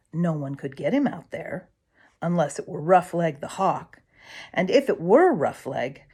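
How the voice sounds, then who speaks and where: A woman reading a children's storybook aloud as a storyteller, with dramatic swells and emphatic pauses. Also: on the low side, a little mellow, breathy edge. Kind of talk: expressive children's storybook narration.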